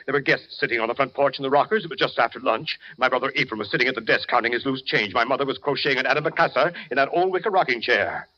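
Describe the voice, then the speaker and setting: An actor playing a folksy, blunt, sinister middle-aged hotel owner in a 1940s radio drama. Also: male voice, medium pitch, very fast, hardly any pauses, pushed and bright, close to an everyday voice. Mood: menacing. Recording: dull 1940s radio recording, music.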